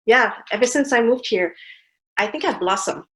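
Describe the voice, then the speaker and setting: A woman's voice: on the high side, expressive, moderate pace. A woman from Montreal whose first language is French, speaking English with a French-Canadian accent as a guest on an interview, telling stories in a chatty way. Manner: chatty, warm storytelling with fillers. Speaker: guest artist. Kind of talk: casual interview chat.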